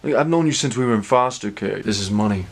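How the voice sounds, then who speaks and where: A man's voice: fairly low pitch, expressive, quick pace. An actor playing a desperate, evasive male drug dealer in a crime drama, slangy and naturalistic. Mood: pleading, frustrated. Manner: slangy, hesitant, pleading.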